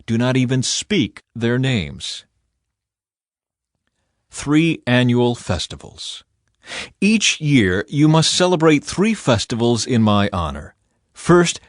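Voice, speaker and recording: A man reading scripture as a scripted audiobook narration, measured, solemn and clearly articulated. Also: medium pitch, no room sound, clean studio sound. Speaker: audiobook Bible reader.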